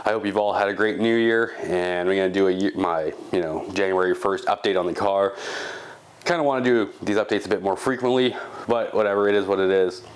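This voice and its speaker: A man's voice: low voice, brisk, smooth. A congested, sick man casually talking through a project update in his garage workshop, rambling with fillers as he thinks aloud. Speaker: channel host.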